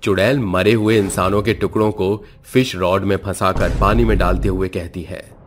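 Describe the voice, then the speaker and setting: A man's voice: deep voice, fairly even intonation, moderate pace, slight background noise. A man narrating a scripted horror cartoon story.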